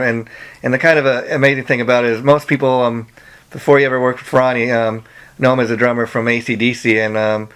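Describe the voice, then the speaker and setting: A man's voice: medium pitch, a bit bright, clear, resonant tone. A man interviewing musicians casually over a phone call, rambling and often saying "you know" and "like".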